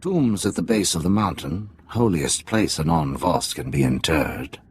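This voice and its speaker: A man playing a jaded middle-aged undercover spy in a video game, with a gravelly, husky voice and a sardonic, deliberate manner. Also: low-pitched, expressive, darkish tone, full character voice. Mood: wry briefing. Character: jaded male Imperial spy posing as alien tea house owner, middle-aged father. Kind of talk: cinematic video game dialogue.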